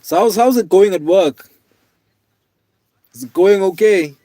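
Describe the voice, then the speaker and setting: A man in his twenties, tired, chatting casually with viewers on a live stream in a relaxed, rambling way with lots of fillers. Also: high voice, unhurried, no room sound. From South Africa. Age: 20s. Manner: laid-back, rambling, many fillers, calls viewers man and bro.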